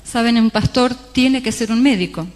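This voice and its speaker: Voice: mid-range voice, moderate pace. An interpreter renders a religious talk phrase by phrase, with small hesitations along the way. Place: large church hall. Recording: long hall reverb.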